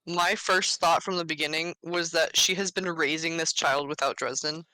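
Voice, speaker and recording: A co-host of a casual book podcast with a bright, sharp tone. The recording is dry and close, and the delivery is matter-of-fact and critical. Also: medium pitch, steady tone, normal speed, dry recording, low-quality recording.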